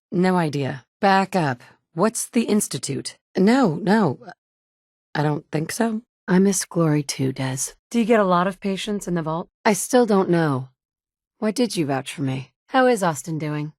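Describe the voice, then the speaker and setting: A woman voicing the female player character of a game, a blunt adult wasteland survivor with a brisk, dry, often curt way of talking. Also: on the low side, darkish tone, no echo. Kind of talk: game dialogue lines.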